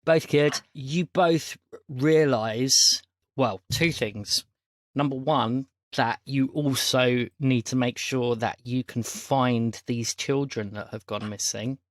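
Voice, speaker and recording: A man's voice: mid-range voice, takes it slowly, no echo. A man narrating a tabletop role-playing game as game master.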